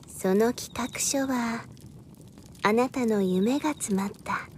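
Actress as worldly confident woman giving advice, really high voice, unhurried, radio play, bit of noise. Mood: gentle, advising. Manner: deliberate, persuasive, feminine speech. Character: worldly, assured woman advising a younger one. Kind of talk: audio drama acting.

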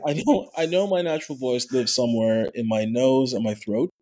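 A man, an actor, talking in a casual interview, animated and full of restarts, with a somewhat nasal voice. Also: mid-range voice, normal speed. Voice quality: nasal natural voice. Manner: animated storyteller, many fillers and restarts. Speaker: actor and voice actor, podcast guest.

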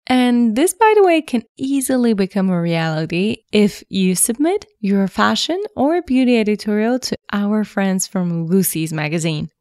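Woman hosting a podcast, upbeat and encouraging, mid pitch, super dry close sound. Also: animated, normal speed, few pauses, darkish tone, dry recording. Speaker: podcast host. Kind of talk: scripted intro then interview.

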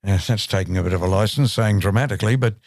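Older man, measured and reminiscing. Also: lowish voice, no echo, clean studio sound. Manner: measured, reminiscing, hesitant fillers. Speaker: co-host. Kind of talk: casual chat.

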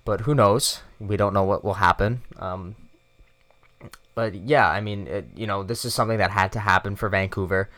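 A man's voice: lowish voice, normal speed, a bit bright. A man co-hosting a casual sports podcast, agreeable, repeating himself and leaning on fillers. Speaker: podcast co-host.

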